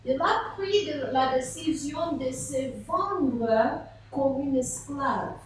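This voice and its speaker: A woman's voice: high-pitched, takes it slowly, slight background noise. A woman pastor, preaching a sermon and telling a story with rhetorical questions. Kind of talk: sermon at a seminar. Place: large echoey church hall. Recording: echoey hall from far off.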